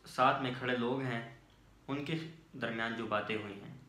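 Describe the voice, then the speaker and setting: A man's voice: mid-range voice, normal speed, bright, forceful tone. A Pakistani man giving an explanatory law lecture, methodically reading statutes aloud and explaining them, switching between English and Urdu. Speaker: law lecturer and channel host. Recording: roomy, echoing voice recording.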